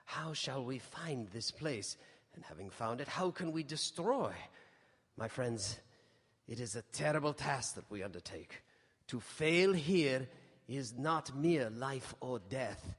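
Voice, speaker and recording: An actor playing a solemn, commanding old professor who hunts vampires, with formal, portentous radio-drama delivery. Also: male voice, mid-range voice, lively intonation, slow, husky. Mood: impassioned gravity. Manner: portentous, formal, emphatic oratory. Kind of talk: live radio drama acting. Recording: live stage broadcast in reverberant hall.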